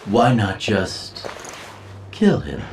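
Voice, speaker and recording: An actor playing a smooth, eloquent schemer with an insinuating, theatrical manner in a video game cutscene. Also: male voice, fairly low pitch, big pitch swings, very slow, a little hiss or hum, exaggerated voice. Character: smooth, theatrical, scheming male manipulator counseling heroes. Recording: roomy echo, distant, music behind.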